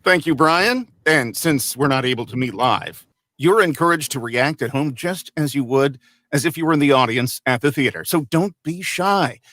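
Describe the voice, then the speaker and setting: A man's voice: mid-range voice, animated, normal speed, a bit bright, dry recording, natural voice. A man playing a formal radio host and narrator, with theatrical old-time announcer delivery. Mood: genial, formal.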